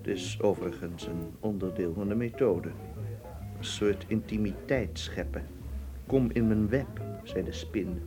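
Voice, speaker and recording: A man's voice: lowish voice, a little slow, music in the background, lightly acted. A man playing a guarded, wary suitor in a radio drama, with a terse, dry manner. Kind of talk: naturalistic Dutch radio play acting.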